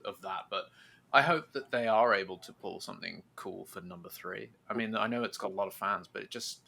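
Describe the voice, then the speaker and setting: A man's voice: fairly low pitch, brisk, gravelly, pushed and bright. A man in casual podcast chat, informal and opinionated, often questioning aloud.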